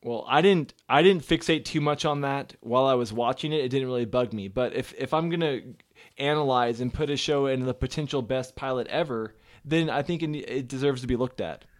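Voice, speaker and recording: A man's voice: medium pitch, fairly quick, no echo. Chatty man, dry close sound. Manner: rambling, repeats words when starting sentences. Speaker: podcast co-host. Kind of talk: casual chat.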